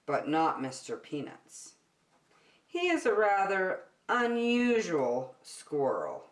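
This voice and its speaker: A woman reading a picture book aloud to young children as a circle time teacher, expressive and speaking directly to her listeners. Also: on the low side, takes it slowly, echoey room. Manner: expressive, addresses young listeners with questions and prompts.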